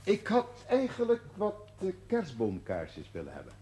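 An actor playing a mild, patient man shopping, gently moralising in a television period drama. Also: high-pitched, huge pitch swings, measured pace, a little hiss or hum, heavily acted. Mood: hesitant. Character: mild, gently moralising male shop customer.

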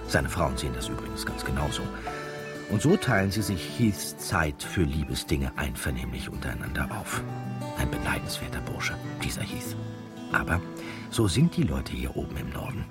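An actor playing a wry, easygoing narrator of village life in a radio drama, telling it in an unhurried way. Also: male voice, very deep voice, lively intonation, over loud music. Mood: wry, musing.

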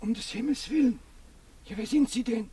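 Radio drama servant: high husky voice, flustered, urgent, calling from off mic. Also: male voice, normal speed, warm tone, slight background noise. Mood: alarmed, urgent. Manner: urgent exclaiming. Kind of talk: German radio play acting. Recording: distant from the microphone.

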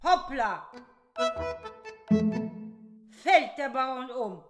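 Female radio drama narrator, high-pitched, very unhurried, loud violin music behind. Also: sing-song.

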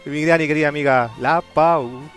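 A man's voice: on the high side, fairly even intonation, normal speed, a bit bright, soft background music. A man with a Chilean accent hosting a casual anime podcast, playful and showman-like in his announcing. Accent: Chilean Spanish. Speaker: podcast host.

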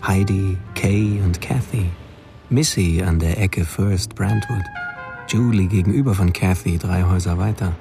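An actor playing a troubled young drifter confessing his obsessions in a deliberate, brooding monologue for a radio drama. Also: sits low, gravelly, music in the background. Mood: brooding, confessional.

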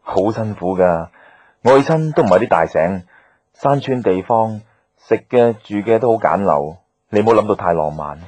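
A man's voice: on the low side, lively intonation, moderate pace, darkish tone, slightly rough. An actor playing a modest, practical male herbal doctor in a radio drama, matter-of-fact, with a Hong Kong Cantonese accent. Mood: calm, cautioning. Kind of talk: naturalistic radio drama dialogue.

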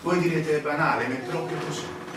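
An actor playing an arrogant, unrepentant Sicilian mafioso, delivering a solemn, declamatory stage monologue with dramatic pauses. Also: male voice, on the high side, steady tone, heavy background noise, lightly acted. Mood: brooding, reminiscent. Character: proud unrepentant Sicilian male mafioso confessing, arrogant, self-righteous. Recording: echoey distant stage recording with music. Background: music.

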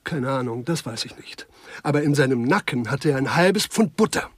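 Husky, fretful squeamish man. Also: mid-range voice, animated, normal speed, no room sound. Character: jittery, squeamish male witness, fussy about his health. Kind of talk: 1990s German radio play acting.

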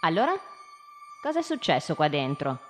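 An actress playing an inquisitive schoolteacher in live radio theatre, putting short, probing questions to children in speech. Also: female voice, low voice, huge pitch swings, normal speed, soft background music. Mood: curious, insistent.

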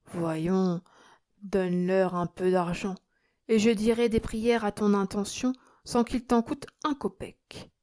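A shrewd, kindly country priest in a storybook, voiced by a woman reader. He speaks in measured phrases. His manner is calm and coaxing, with a gentle note of reproach. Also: medium pitch, natural voice. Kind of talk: audiobook character acting.

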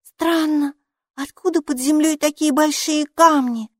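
A woman voicing a brave, bossy little girl in an audiobook, speaking in a high, exclaiming child voice. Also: steady tone, a little slow, no room sound, studio quality. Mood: hushed. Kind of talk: audiobook character reading.

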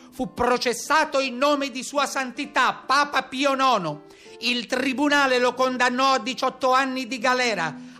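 A man's voice: very high voice, pushed and bright, voice pushed up, exaggerated voice. An actor playing a pompous lawman and official of the papal state, formal, officious and declamatory in a radio play.

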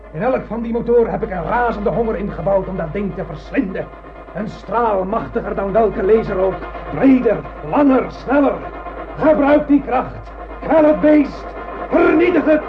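A man's voice: very high-pitched, normal speed. An actor playing a blind, obsessed male starship captain in a radio drama, commanding and fanatical. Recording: dull radio recording with music underneath.

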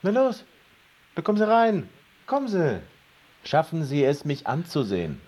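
Ein Schauspieler spielt in einem deutschen Hörspiel einen bestimmten, leicht resignierten Mann. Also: male voice, a little high, wildly swooping pitch, normal speed, a little mellow, husky, full character voice. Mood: brisk, resigned. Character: brisk, resigned man giving instructions. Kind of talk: German radio drama acting.

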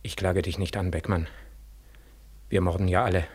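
An actor in a 1940s German radio drama playing a dead war returnee, a mournful, gently accusing ghost who speaks slowly and solemnly with heavy pauses. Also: male voice, low-pitched, fairly even intonation, slightly rough. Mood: sorrowful reproach. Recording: 1940s radio studio recording.

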